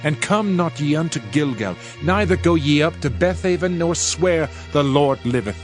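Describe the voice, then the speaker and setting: A man's voice: on the high side, animated, natural voice. Man acting a solemn prophet over music. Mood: stern, grave. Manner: solemn, declamatory, measured. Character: Hosea, solemn male Old Testament prophet. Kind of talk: dramatized King James scripture narration. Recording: music under the voice.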